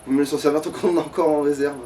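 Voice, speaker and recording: A man's voice: a little high, steady tone, very fast, dark tone. A man giving casual let's play commentary, thinking aloud in a grumbling, foul-mouthed way. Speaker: gaming streamer. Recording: distant mic with background noise.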